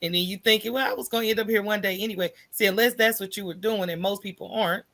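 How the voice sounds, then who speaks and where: An American woman speaks as an interview guest with a bright, forceful edge to her mid-pitched voice. She tells her story candidly and conversationally, slipping into remembered dialogue and punctuating points with a questioning tag. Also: fast talker. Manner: animated, acts out remembered dialogue, often asks "right?". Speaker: podcast guest, entrepreneur.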